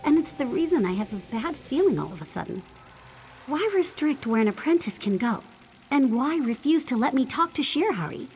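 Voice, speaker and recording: A woman's voice: high voice, big pitch swings, normal speed, darkish tone, soft background music. A woman voicing an earnest, suspicious young apprentice in a video game, with a questioning way of talking. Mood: uneasy, suspicious. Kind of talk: video game character dialogue.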